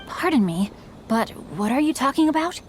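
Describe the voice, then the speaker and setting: A woman's voice: high-pitched, very animated, normal speed, a little hiss or hum. A woman voicing a polite young male swordsman and novelist in a video game, with formal, courteous phrasing. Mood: earnest.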